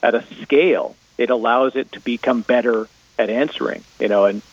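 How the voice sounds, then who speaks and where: A Canadian man with a mid-pitched voice chats as a radio guest. His delivery is informal and wry, starting and restarting phrases as he goes. The audio is slightly dull. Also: moderate pace. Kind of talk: casual tech discussion.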